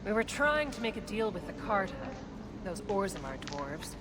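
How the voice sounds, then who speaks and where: An actress playing a shady, businesslike smuggler boss in a video game, with dry sardonic delivery. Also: female voice, medium pitch, sing-song, moderate pace, background noise, close to an everyday voice. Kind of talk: video game dialogue acting.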